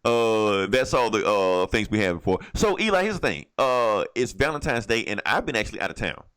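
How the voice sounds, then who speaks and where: A man from the United States with a fairly deep voice hosts a casual podcast. He tells stories conversationally, with plenty of joking and swearing. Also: sing-song, moderate pace, a bit bright, clear, resonant tone. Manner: profane, joking storytelling. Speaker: comic book podcast host.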